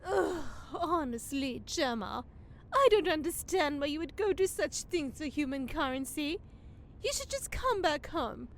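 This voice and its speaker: A woman acts as a haughty fairy lady in a fantasy audio comedy. Her voice is high and swoops with snobbish disdain, theatrical and critical. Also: animated, a little slow, slight background noise. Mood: prim, critical. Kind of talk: comedy audio drama acting.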